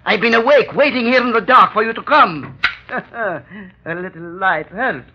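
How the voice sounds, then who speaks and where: An actor playing a treacherous, cowardly ex-convict in an old radio thriller, wheedling and excitable in his theatrical delivery. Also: high voice, measured pace, noisy background. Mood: sneering. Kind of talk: 1930s-40s radio thriller acting. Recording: dull, lo-fi old radio recording.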